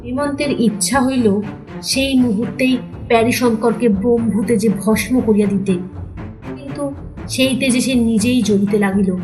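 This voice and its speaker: A woman reads a dramatic story as its narrator, with music playing softly behind her. Her voice is a little high, and the delivery is scripted and literary. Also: moderate pace, rough recording.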